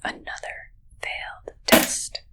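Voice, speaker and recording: A woman whispering an ASMR roleplay as an odd, suspicious medical examiner, in halting, stilted short phrases.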